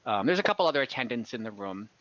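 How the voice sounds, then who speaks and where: A man's voice: mid-range voice, very animated, rapid-fire, a bit bright. A man working as game master, improvising descriptive, hesitant narration for an online tabletop fantasy game, on slightly dull call audio.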